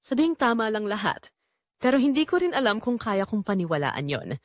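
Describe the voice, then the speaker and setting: A woman narrating as a brooding, guilt-torn man, in slow, deliberate, confessional dramatic storytelling. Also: fairly high pitch, big pitch swings, dry recording, clean studio sound. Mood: torn, melancholy. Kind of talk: scripted first-person dramatic narration.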